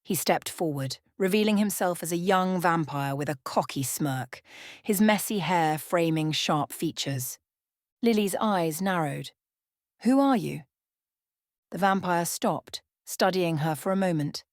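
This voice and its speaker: Female bedtime-story narrator, ASMR style, slightly low voice, very dry sound. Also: measured pace, dry recording.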